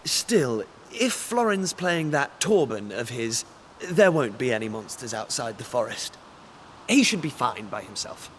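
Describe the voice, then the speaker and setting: Bold young adventurer, very expressive pitch, unhurried pace, informal, protective, game dialogue. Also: male voice, a little hiss or hum. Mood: relaxed, reassuring. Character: plucky, cheeky, protective young adventurer prince. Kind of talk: video game voice acting.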